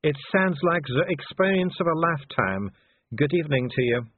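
A man's voice: fairly high pitch, expressive, normal speed, husky, no echo, phone-quality sound, pitched above the performer's own voice. A man voicing a stunned, stiffly polite male diplomat in an audiobook. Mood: dazed. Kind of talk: audiobook character voice.

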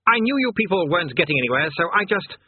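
A man voicing a pompous, paranoid, easily flattered demon king in a storybook, flustered and self-important, on a narrow tinny recording. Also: high voice, quick pace, breathy edge, dry recording, voice pushed up, exaggerated voice. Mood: bashful. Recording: narrow tinny phone-like sound.

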